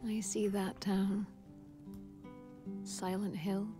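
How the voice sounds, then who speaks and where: An actress playing a wistful, lonely lost wife, narrating a letter in a tender, dreamy video game voiceover. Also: female voice, mid-range voice, little pitch movement, extremely slow, music in the background. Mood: hushed longing.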